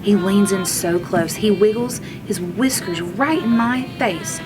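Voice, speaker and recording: A woman reading a children's storybook aloud like a teacher, in an expressive manner. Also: medium pitch, unhurried, music in the background, poor audio. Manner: expressive, acts out characters' lines.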